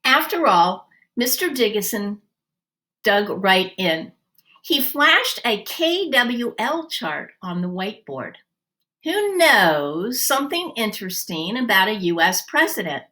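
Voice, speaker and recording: A woman reading a children's story aloud expressively, with deliberate, dramatic emphasis. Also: mid-range voice, very animated, bright, forceful tone. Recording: roomy, echoey sound.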